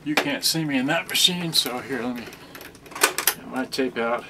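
A man's voice: medium pitch, moderate pace, very noisy. An older man giving a casual, offhand, rambling product demonstration as he handles gear. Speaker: product reviewer. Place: indoor carpeted room. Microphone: camera a few feet away. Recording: distant camera microphone, loud radio music. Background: music from a cassette radio.

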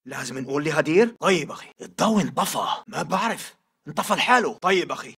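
An actor with a Syrian Levantine Arabic accent playing a militant reporting to comrades in video game dialogue. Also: male voice, fairly high pitch, expressive, quick pace, pushed and bright, husky, distant mic, heavily acted. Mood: tense.

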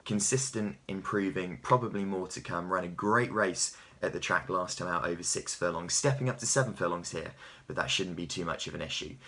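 A man's voice: low voice, brisk, bright, forceful tone. A man in his thirties giving horse racing tips to camera with an emphatic, punchy delivery. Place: small home office, indoors.

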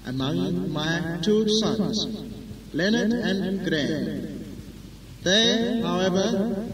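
A man voicing a pompous will being recited in a slow, ceremonious, drawn-out declamation for comedy. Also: on the high side, echoey room, in a large hall, distant mic.

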